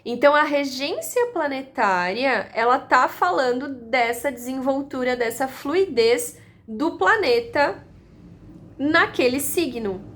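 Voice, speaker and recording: A woman giving a calm, explanatory lesson, repeating her points and using analogies. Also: sits high. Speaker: astrology teacher.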